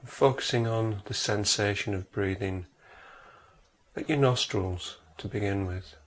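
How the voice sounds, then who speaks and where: A man with a fairly low voice leads a guided meditation. He speaks very slowly, giving measured instructions and leaving long pauses between phrases. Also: a bit bright.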